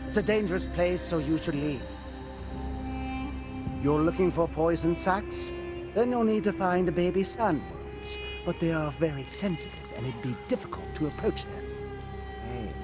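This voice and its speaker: A man playing a cautious resistance guard in a video game, brisk and conspiratorial. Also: sits high, very animated. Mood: wary. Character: cautious resistance guard at a monster hatchery, male. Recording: clear music behind the voice.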